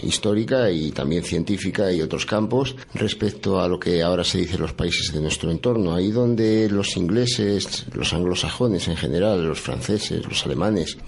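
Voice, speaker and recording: A man from Spain with a mixed Madrid and Galician accent, explaining things in a measured, articulate way as an interviewed author. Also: deep voice, creaky, husky. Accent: mixed Madrid and Galician Spanish.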